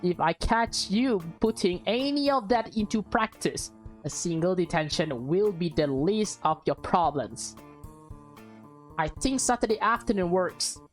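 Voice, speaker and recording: A woman's voice: on the low side, expressive, a little slow, faint music behind. A woman voicing a stern, scolding mother who teaches at a school, firm and reproachful, in a visual novel. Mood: cold warning.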